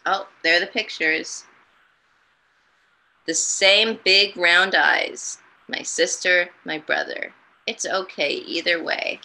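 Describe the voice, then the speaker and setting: A woman reading a children's storybook aloud and commenting in a gentle, encouraging way. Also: lowish voice, fairly even intonation, takes it slowly, low-quality recording. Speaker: storytime reader and host.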